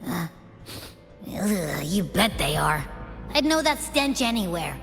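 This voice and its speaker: A woman's voice: on the low side, big pitch swings, slow, vocal fry, fairly bright tone, big-room sound, faint music behind, full character voice. A woman voicing a small, brash, boastful creature companion in a video game. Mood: cocky.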